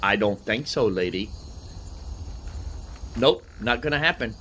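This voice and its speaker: Slow-talking man doing casual gameplay commentary. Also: fairly low pitch, animated, pushed and bright, a little hiss or hum. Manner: thinks aloud, repeats himself. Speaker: gaming video host. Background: game music.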